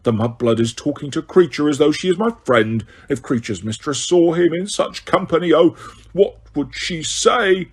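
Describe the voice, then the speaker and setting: A man voicing a spiteful old male house elf who mutters in a hoarse, deep, croaking voice. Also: animated, moderate pace. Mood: grudging. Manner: muttering under the breath.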